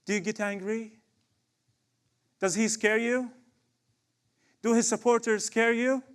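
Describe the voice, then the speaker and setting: A man's voice: very high voice, fairly even intonation, a little slow, a bit bright, clear, resonant tone. A young man from Palestine, performing impassioned spoken word poetry with rhetorical questions and repeated refrains. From Palestine, West Bank.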